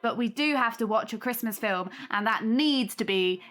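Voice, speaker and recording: An actress playing an affectionate, organising aunt hosting Christmas in an audio drama. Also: female voice, on the high side, normal speed, a bit bright, close to an everyday voice. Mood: warm, cheerful.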